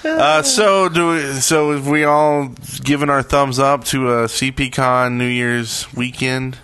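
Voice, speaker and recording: A man's voice: medium pitch, lively intonation, unhurried, pushed and bright, smooth. A man co-hosting a podcast in casual, bantering conversation. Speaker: podcast co-host.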